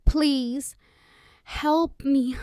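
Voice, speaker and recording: A woman's voice: high-pitched, fairly even intonation, extremely slow, a little mellow, dry recording, clean studio sound, voice pushed up. A woman voicing a frightened, tearful teenage girl in pain, in an acted audiobook. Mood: weak pleading. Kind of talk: audiobook character acting.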